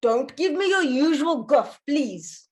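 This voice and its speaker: A woman's voice: sits high, sing-song, slow, a little mellow, heavily acted. A woman playing a theatrical, whimsical and defensive mother in her fifties at a screenplay table read.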